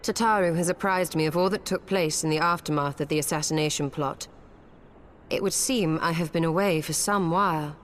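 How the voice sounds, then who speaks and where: An actress playing a gracious, scholarly female mage in a fantasy video game, speaking in formal, measured, archaic phrases. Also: deep voice, faint music behind, close to an everyday voice. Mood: composed, thoughtful.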